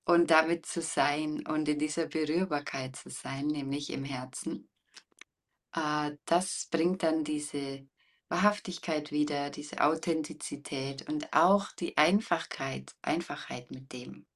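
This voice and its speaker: A woman with a deep, warm voice, a guest voice coach explaining things reflectively and deliberately in conversation. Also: steady tone, recorded from a distance. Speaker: interview guest, voice coach and former singer.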